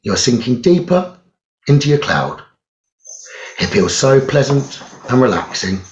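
Very slow male meditation guide, roomy echo. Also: medium pitch, big pitch swings, a little hiss or hum. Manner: soothing, measured, with long pauses. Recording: roomy echo, dull lo-fi sound.

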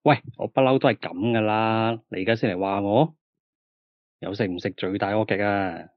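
A flippant, exasperated aging film star, voiced by a man, with a Hong Kong Cantonese accent. His voice sits low and sounds dry and close. The recording is dull and muffled. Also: moderate pace, no room sound, lightly acted. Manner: exasperated, glib, bossy. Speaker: actor character on the phone. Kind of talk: Cantonese radio drama acting.

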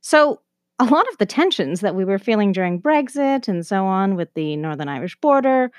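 A woman's voice: fairly high pitch, dry recording, clean studio sound. A woman presenting a scripted educational narration in a conversational way, as the host of a history lesson.

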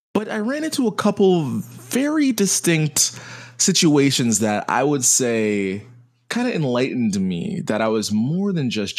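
A young adult man speaking in a thoughtful, conversational monologue, often pausing with "you know". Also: mid-range voice, no room sound, studio quality. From Jamaican heritage, grew up partly in America. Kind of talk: personal reflective monologue.

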